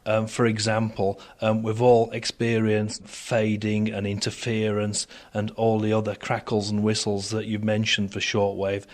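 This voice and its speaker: A man speaking as an expert guest in a radio interview, explaining things in a measured, formal and articulate way. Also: fairly low pitch, completely flat delivery. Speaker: interviewed expert on broadcast technology.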